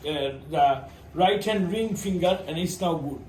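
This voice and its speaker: High-voiced Bangladeshi man in an echoey room. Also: measured pace. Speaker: patient. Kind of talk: casual chat. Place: indoor clinic room. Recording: echoey room with background noise.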